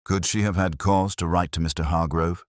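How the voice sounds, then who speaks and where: Male narrator as police inspector, bass register, courteous, understated, quietly probing, close dry sound, audiobook mystery. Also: steady tone, moderate pace, no room sound, natural voice. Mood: polite inquiry. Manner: formal, polite, understated.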